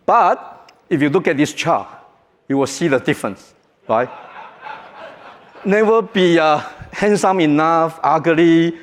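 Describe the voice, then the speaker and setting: Taiwanese-accented guy giving a keynote, kinda high voice, jokey, big echoey hall. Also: a little hiss or hum. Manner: joking, halting, non-native phrasing. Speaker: keynote speaker. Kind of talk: conference keynote talk. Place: large conference hall. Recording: reverberant hall.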